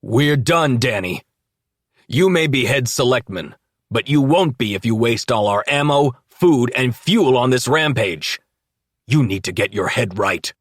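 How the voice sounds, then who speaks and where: A man voicing a steady sheriff who speaks calmly but loudly and firmly in an audiobook. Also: medium pitch, measured pace, dry recording, studio quality, close to an everyday voice. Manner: calm but loud, firm. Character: steady, firm middle-aged male sheriff.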